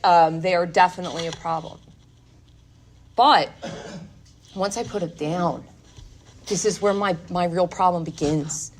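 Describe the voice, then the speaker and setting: Earnest woman giving a recovery talk. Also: lowish voice. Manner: earnest, confessional, frequent "like" and "you know". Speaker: recovery speaker. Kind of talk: personal testimony talk. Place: workshop meeting room.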